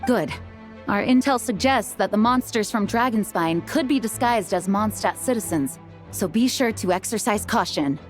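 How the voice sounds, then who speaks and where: An actress playing an authoritative, dutiful knight commander in a video game, with crisp, formal delivery. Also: female voice, medium pitch, animated, normal speed, faint music behind, natural voice. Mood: firm briefing.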